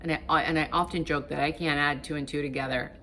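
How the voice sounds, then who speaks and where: A woman's voice: deep voice, steady tone, quick pace, fairly bright tone, a little hiss or hum. A woman in her fifties whose first language is Portuguese, chatting casually to camera and encouraging her viewers. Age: 50s. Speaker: vlogger.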